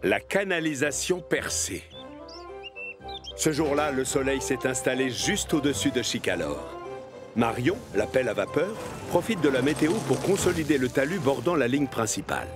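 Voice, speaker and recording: A man's voice: medium pitch, expressive, normal speed, over music. A man narrating a scripted children's cartoon story.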